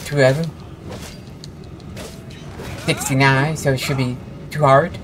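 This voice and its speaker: A man's voice: medium pitch, flat delivery, slow. A man giving casual, offhand gameplay commentary in short folksy remarks as he streams. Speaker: video game streamer. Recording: game music faintly behind.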